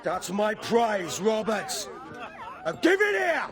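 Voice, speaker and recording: An actor playing a brash, hot-blooded pirate fighter in a video game, speaking with forceful defiance. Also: male voice, high voice, sing-song, slow pace, over music, crowd noise, exaggerated voice. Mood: furious. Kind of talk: dramatic video game acting.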